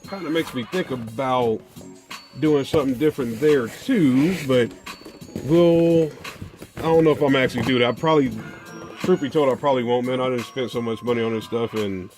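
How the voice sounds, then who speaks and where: An American man talks to his viewers like a relaxed product vlogger, in loose, slangy, colloquial phrasing. His voice sits at an average male pitch. Faint music plays behind him. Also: moderate pace. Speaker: car audio video host. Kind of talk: casual unboxing and product chat to viewers.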